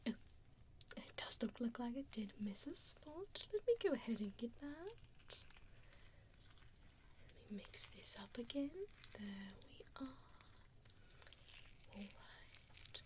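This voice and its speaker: Woman acting as evil female vampire, breathy whisper, slightly high voice, unhurried, condescending, softly menacing, close-mic ASMR roleplay. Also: lively intonation. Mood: doting, focused. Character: evil mean female vampire preparing a human as meal. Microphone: close binaural 3Dio microphone.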